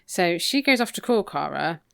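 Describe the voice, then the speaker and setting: A woman's voice: fairly low pitch, very animated, moderate pace, gravelly, a bit bright, clear, resonant tone. A British woman co-hosting a podcast, casually recapping a book in an informal, gossipy, wry way. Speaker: podcast co-host.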